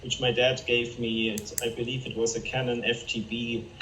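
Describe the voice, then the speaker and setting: A man with a German accent, a guest photographer answering interview questions in an earnest, halting way with many fillers. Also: mid-range voice, little pitch movement, fairly bright tone, slight background noise. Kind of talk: video call interview. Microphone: video call. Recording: roomy, distant video call audio.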